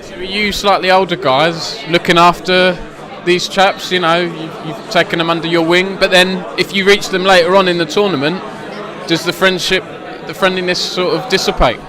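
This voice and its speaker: A man's voice: high-pitched, steady tone, normal speed. A man interviewing players at an event, asking friendly, rambling questions. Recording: noisy crowd behind. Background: crowd chatter.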